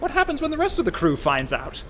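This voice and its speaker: Fretful fake pirate, high male voice, dry exasperation, animated, comic radio play, faint noise. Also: quick pace, heavily acted. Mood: exasperated. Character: fretful, sardonic con man posing as a pirate merchant.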